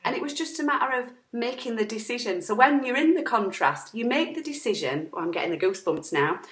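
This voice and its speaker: A woman's voice: a little high, lively intonation, quick pace, a bit bright. A woman with a sore throat, co-hosting a casual self-help chat and talking emphatically, acting out quotes. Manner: chatty, emphatic, acts out quotes. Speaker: co-host. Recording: echoey room on an online call.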